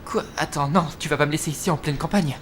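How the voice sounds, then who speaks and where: A man's voice: a little high, quick pace, a little hiss or hum, close to an everyday voice. A man playing an insolent, bitter seventeen-year-old son defying his mother in a fan-dubbed TV drama, casual, sarcastic and confrontational. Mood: panicked, pleading. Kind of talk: amateur fan dub of TV drama. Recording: music under the voice. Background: music.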